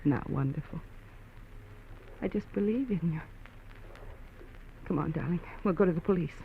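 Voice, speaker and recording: A woman's voice: fairly low pitch, normal speed, a little hiss or hum, lightly acted. A devoted young wife from an old radio play talks earnestly and tenderly, as an actress puts her on. Her voice comes through thin and tinny, like a narrow 1950s broadcast line. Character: loyal young wife, anxious then relieved. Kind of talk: 1950s radio drama acting.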